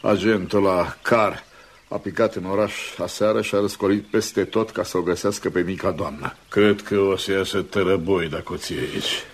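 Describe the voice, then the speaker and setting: Folksy, loyal sidekick. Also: male voice, low-pitched, steady tone. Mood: loyal, concerned. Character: loyal, folksy male helper, eager and plain-spoken. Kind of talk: Romanian radio drama acting.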